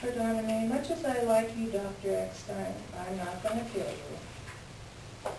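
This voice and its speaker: A woman's voice: medium pitch, a little slow, vocal fry. A woman playing a feisty, blunt female employee in a staged reading, wary but fond, with a dramatic delivery. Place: echoey room, far from the mic. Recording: distant echoey room with background noise.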